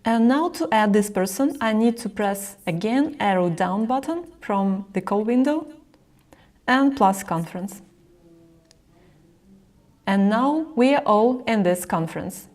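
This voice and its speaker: A woman presenting a software tutorial, explaining each step directly to the viewer as she narrates a screen recording. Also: mid-range voice, lively intonation, measured pace.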